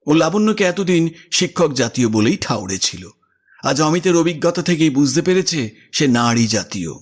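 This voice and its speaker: A man narrating a literary story in an expressive, scripted audio drama style. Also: fairly high pitch, normal speed. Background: music.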